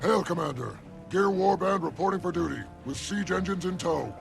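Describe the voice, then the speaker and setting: Gruff female beast warrior, low gravelly voice, swaggering, wry, video game cutscene, music behind. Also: normal speed. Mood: brisk, proud. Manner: brash, wry. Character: gruff, swaggering female charr warband leader, tank commander.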